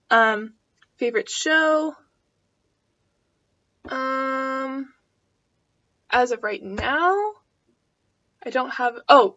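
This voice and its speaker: A young woman in her twenties rambles through personal questions, her voice set a touch high and peppered with "um" and "like". It sounds like a casual home vlog, and the audio comes through a little dull and lo-fi. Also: a bit bright. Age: 20s. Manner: chatty and rambling, full of fillers. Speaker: vlogger. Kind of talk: casual chat answering tag questions.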